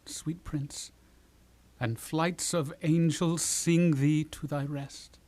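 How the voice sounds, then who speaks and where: A man's voice: fairly high pitch, steady tone, takes it slowly, a little mellow, no echo. A man playing a prince's grieving loyal friend in a Shakespearean puppet play, mournful and tender, drawing out the words. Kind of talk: classical Shakespearean stage acting in a puppet play.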